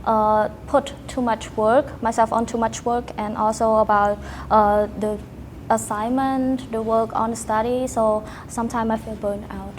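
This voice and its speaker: Young cambodian lady on a podcast, kinda high voice, pauses hunting for words, bit of noise behind her. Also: fairly even intonation. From Cambodia, Battambang province. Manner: halting, searches for words in non-native phrasing. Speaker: podcast guest, teacher and student. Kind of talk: casual interview answers.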